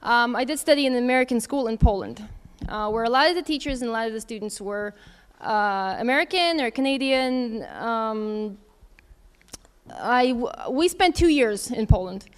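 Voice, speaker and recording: A woman's voice: fairly high pitch, lively intonation, normal speed, smooth. A woman in her thirties answering audience questions in a candid, conversational way, thinking aloud as she goes. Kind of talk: casual Q&A talk.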